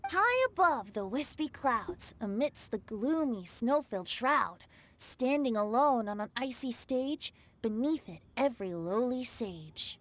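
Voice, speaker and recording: A woman's voice: a little high, lively intonation, a little slow. A woman voicing a poised, well-spoken young riddle reader in an anime video game.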